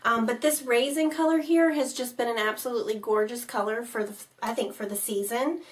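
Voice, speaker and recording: A young woman whose fairly high voice carries a slight rasp from a cold. She chats easily and in detail about makeup as a vlog co-host. She sits a little back from the microphone. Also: steady tone, fairly quick, distant mic. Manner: chatty, detailed product talk. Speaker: beauty vlogger co-host. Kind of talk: casual chat reviewing makeup.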